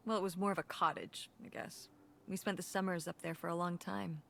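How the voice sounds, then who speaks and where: A woman's voice: on the low side, steady tone, a little fast, creaky, fairly bright tone, slight background noise. A woman playing a practical, dryly sceptical middle-aged mother in a naturalistic video game performance. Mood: wistful.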